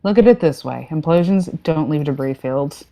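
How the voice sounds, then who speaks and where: A breezy, drily sardonic spaceship captain acted by a woman in a comic sci-fi play. Her voice is relaxed and slightly low. She sounds casually trigger-happy and deadpan. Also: moderate pace. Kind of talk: comedic sci-fi play acting.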